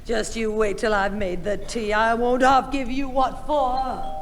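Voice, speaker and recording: A woman playing a brisk, scolding mother with a Northern English accent in a 1960 radio sitcom. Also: on the high side, level tone, lightly acted. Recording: old radio recording, faint hiss, audience.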